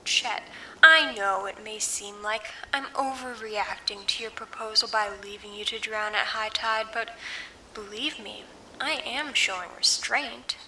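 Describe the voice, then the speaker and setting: A gloating female villain with a high, very bright voice. She is theatrical and sweetly menacing, a scorned sweetheart out for revenge in a spy comedy audio drama. There is faint noise behind her. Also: heavily acted, rough recording.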